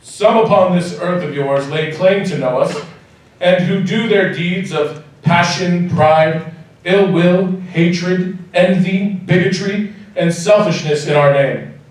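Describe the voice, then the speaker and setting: An actor playing a commanding, jovial giant Christmas ghost in a live radio drama, with booming, declamatory delivery. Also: male voice. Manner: booming, declamatory stage projection. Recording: very echoey hall, distant mic.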